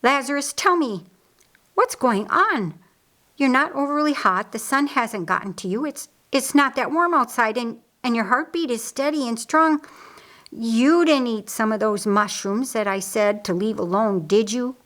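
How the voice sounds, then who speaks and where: A woman's voice: mid-range voice, measured pace, hardly any pauses, close to an everyday voice. A woman voicing a practical, caring sister in a storybook, speaking in an emphatic, commanding way. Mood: worried, firm.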